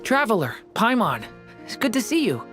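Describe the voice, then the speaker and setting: Gentle, earnest, mid-pitched youth. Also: wildly swooping pitch, normal speed, faint music behind. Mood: warm, caring. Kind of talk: video game character acting.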